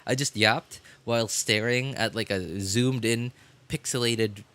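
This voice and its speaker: Bright-voiced man chatting on a stream. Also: medium pitch, steady tone, unhurried, clear, resonant tone. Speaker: game livestreamer. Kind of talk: casual rambling stream chat.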